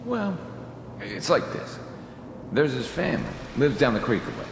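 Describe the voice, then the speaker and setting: Halting, shifty male client with swooping pitch. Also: big pitch swings, gravelly, echoey room, long reverb tail, background noise. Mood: nervous, sly. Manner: halting, tentative, folksy. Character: hesitant, shifty male client hiring a killer. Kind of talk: video game voice acting.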